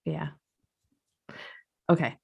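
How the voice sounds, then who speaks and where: A woman's voice: extremely slow, darkish tone, dull audio. A woman co-hosting a podcast, chatting casually with her sister, with lots of fillers and easy laughter. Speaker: podcast co-host. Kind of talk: casual chat.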